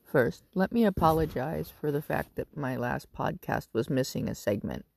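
A woman in her fifties from Northern California, raspy from smoke, talking about her life in a loose, rambling monologue full of ums and restarts. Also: sits low, lively intonation, normal speed, loud music behind the voice. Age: 50s. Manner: rambling, with frequent ums and self-corrections. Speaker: podcast host. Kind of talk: casual personal monologue.

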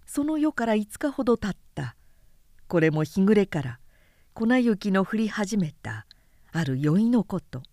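Slow, measured woman narrator, dry recording. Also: mid-range voice, sing-song, mellow, no room sound. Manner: measured, deliberate storytelling. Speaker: narrator reading a story aloud. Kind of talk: scripted literary narration.

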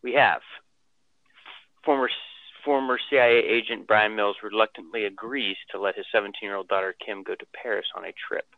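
Man calling in to a podcast, low gravelly voice, phone-line sound, dry. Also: steady tone, brisk, no echo. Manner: reads the synopsis aloud, then chats loosely. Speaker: co-host. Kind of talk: casual podcast chat.

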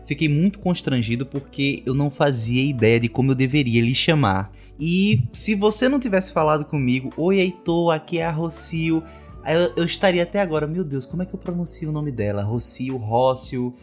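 A man's voice: a little high, a little fast, faint music behind. Effusive, chatty man podcast host. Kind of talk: podcast interview.

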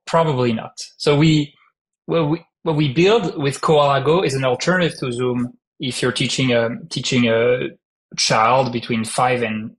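A man's voice: medium pitch, poor audio. A man in his thirties from France with a heavy French accent, a startup founder speaking in an interview, hesitant with many fillers. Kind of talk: casual interview talk.

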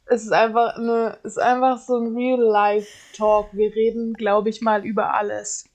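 A woman's voice: fairly high pitch, level tone, moderate pace, a little mellow. A woman from southwestern Germany co-hosting a casual podcast over a video call, mostly chiming in with short agreements. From Baden-Württemberg, Germany. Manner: brief, agreeing interjections. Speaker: podcast co-host. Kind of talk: casual chat. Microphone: video call recording.

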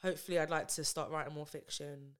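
Give me a young writer chatting on a podcast, clear smooth voice. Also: mid-range voice, little pitch movement, quick pace. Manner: conversational, chatty with many fillers. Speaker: guest writer. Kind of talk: casual podcast chat.

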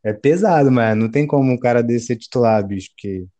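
A man from Brazil co-hosting a casual football podcast, speaking in relaxed slang at a measured pace. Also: mid-range voice, dry recording. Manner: laid-back, slangy, measured. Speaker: podcast co-host.

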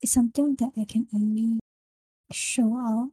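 A young woman with a Singaporean English accent, casually discussing a book with friends and often pausing to find her words. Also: on the high side, fairly even intonation, mellow, husky, no room sound. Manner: halting, searching for words. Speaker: book club member.

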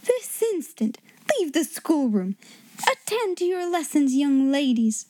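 A woman's voice: on the high side, animated, moderate pace, mellow, dry recording. A woman reading a storybook in the role of a strict, haughty schoolmistress, imperious and breathless with indignation. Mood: furious.

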